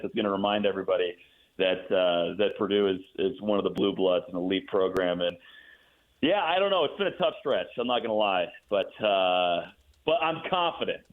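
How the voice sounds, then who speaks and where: A man speaking as a guest announcer in a casual sports radio interview, thinking aloud with halting, repeated words. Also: lowish voice. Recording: muffled phone-like line.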